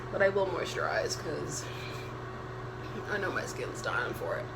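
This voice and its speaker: A young woman with a deep voice for a woman, chatting candidly and plainly while getting ready at home. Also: sing-song, slow pace, gravelly, very noisy. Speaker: vlogger. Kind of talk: casual get-ready-with-me chat.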